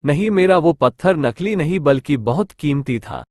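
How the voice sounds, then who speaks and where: A man's voice: fairly high pitch, expressive, normal speed, dry recording, studio quality. A man voicing a greedy, cunning village merchant in a cartoon story, with a sly, wheedling way of talking. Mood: accusing. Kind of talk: Hindi cartoon story dialogue.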